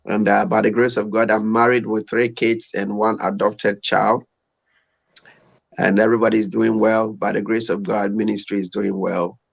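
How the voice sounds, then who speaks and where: Man, fifties, Ghanaian accent, lowish pitch, guest pastor, conversational religious talk. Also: creaky, muffled recording. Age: 50s. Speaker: pastor, guest on a live stream. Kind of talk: conversational testimony and scripture reading.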